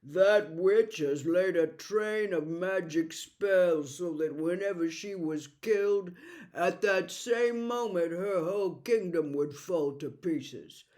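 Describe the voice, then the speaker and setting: A woman's voice: fairly low pitch, slow, a little mellow. A woman voicing a gloomy, pessimistic male marsh creature in an audiobook, dour and plodding in manner. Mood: grim, explaining. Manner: dour, plodding, predicts the worst. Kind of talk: audiobook character voice.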